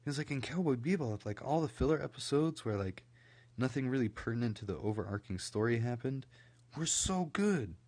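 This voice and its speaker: A young man from Idaho, rambling casually on a late-night stream, full of "like" fillers, his voice a little scratchy from a recent cold and kept quieter than usual. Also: mid-range voice, animated, fairly quick, breathy edge. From Idaho, USA. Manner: rambling, many "like" fillers and restarts. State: slightly scratchy from recent illness.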